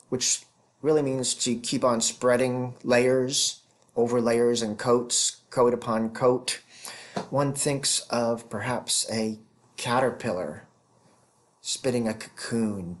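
A man in his fifties giving an explanatory theology lecture as a professor, speaking deliberately and stressing key words. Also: fairly low pitch. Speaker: professor teaching scripture.

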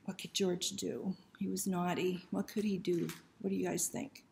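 A woman's voice: on the low side, flat delivery, moderate pace, vocal fry, darkish tone. A middle-aged woman reading a picture book to children as a library storyteller, often asking questions and inviting them to join in. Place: small room indoors. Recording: roomy, faint background noise.

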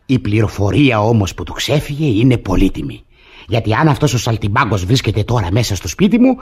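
A man's voice: medium pitch, lightly acted. A man playing a frantic, aggrieved husband in a classic radio farce, exclaiming and repeating words insistently. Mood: scheming, exasperated. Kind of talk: theatrical radio comedy acting.

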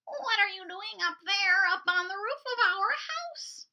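A woman's voice: sits high, big pitch swings, a little slow, pushed and bright, full character voice. A woman voicing three kindly, fussing sisters in a children's storybook reading.

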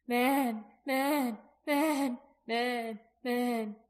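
A woman's voice: mid-range voice, lively intonation, very slow, a bit bright. A woman voicing a huge but childlike frightened female dragon in an audiobook, wailing and repeating herself in questions. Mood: panicked wailing.

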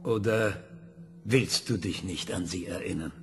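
An actor playing a calm male scientist who questions a ship computer with patient, probing questions. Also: low-pitched, measured pace, faint music behind.

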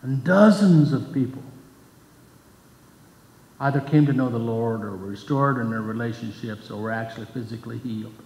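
An older man giving a sermon at a pulpit, earnest and measured, reading scripture between his points. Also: medium pitch, a little mellow. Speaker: pastor. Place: church sanctuary, at a pulpit. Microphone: pulpit microphone, far camera. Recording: echoey church hall from far off.

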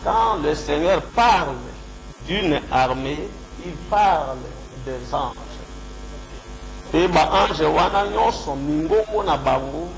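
A man's voice: fairly high pitch, expressive, vocal fry, background noise. A man who is a pastor, preaching unhurriedly on a studio microphone, with a cold. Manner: unhurried, quotes scripture, mixes French and Lingala. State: has a cold. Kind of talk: preaching on a radio call-in show. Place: radio studio. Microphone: studio mic with headphones.

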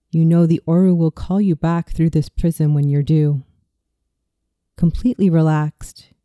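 A meditation narrator in their thirties with a deeply mellow, soft-edged tone and a medium pitch. The delivery is soothing and deliberate, reading a scripted visualization. The sound is dry and close, with hardly any room. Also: no echo. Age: 30s.

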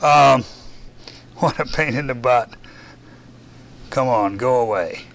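An older man from Texas with a Southern accent, casually walking a class through software in a darkened lecture room, thinking aloud with self-deprecating asides. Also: medium pitch, slow, gravelly, slight background noise. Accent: Southern US, Texan. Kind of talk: software lab walkthrough. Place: dark lecture room with projector screen.

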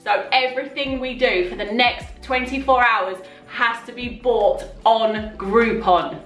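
A woman's voice: a little high, unhurried, fairly bright tone. A British woman in her thirties co-hosting a casual vlog, chatting to camera at home. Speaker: vlog co-host. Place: living room at home. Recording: echoey room, distant mic, faint music.

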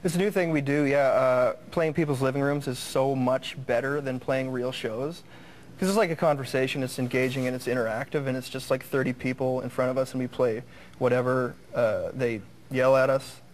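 A young male musician chats on a studio soundstage in a medium-pitched voice. He jokes about himself and keeps things casual and friendly. Faint hiss sits under the lapel mic. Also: fairly even intonation, brisk. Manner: self-deprecating, joking. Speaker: band frontman guest. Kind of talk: casual TV interview.

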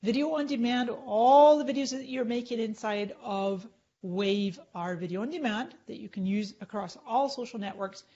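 A woman's voice: on the high side, moderate pace, dark tone, lo-fi sound. A middle-aged woman leading a chatty live tutorial on screen, clicking through and explaining as she goes. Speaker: social media marketing trainer.

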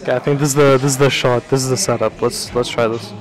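A young man vlogging casually, rambling and swearing, getting loud and excited at times. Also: medium pitch, fast talker, faint music behind, poor audio. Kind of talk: casual gym vlog talk. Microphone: camera mic.

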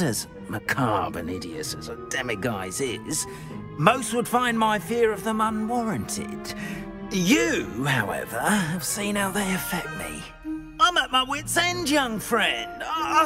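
An actor playing a nervous, fussy middle-aged castle caretaker from Korea, apologetic and theatrical, in a video game. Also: male voice, high voice, wildly swooping pitch, takes it slowly, full character voice. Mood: pleading. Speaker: school caretaker. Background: game music.

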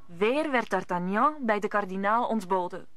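A woman's voice: medium pitch, animated, moderate pace, fairly bright tone. A woman narrator telling a scripted story in a radio play.

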